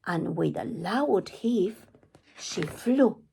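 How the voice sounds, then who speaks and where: Very breathy woman reading a children's story. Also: lowish voice, expressive, extremely slow, mellow. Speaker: storytime reader.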